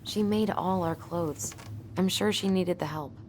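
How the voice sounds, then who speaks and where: A woman's voice: lowish voice, measured pace, a little hiss or hum, natural voice. An actress playing a protective, pragmatic young American woman in a dramatic video game, wry and earnest in manner. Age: young adult. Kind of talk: naturalistic dramatic game acting.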